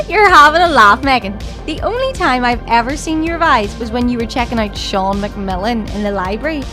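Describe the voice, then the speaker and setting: Actress playing a teasing young student girl, high lively voice, sarcastic audio drama banter over music. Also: normal speed. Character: stylish young Irish student, cheeky and dismissive of boys.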